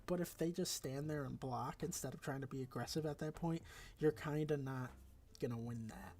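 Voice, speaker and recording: A congested man with a raspy voice talks casually through a game tier list, rambling along with fillers and self-corrections. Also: mid-range voice, normal speed, a little mellow. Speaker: gaming video creator.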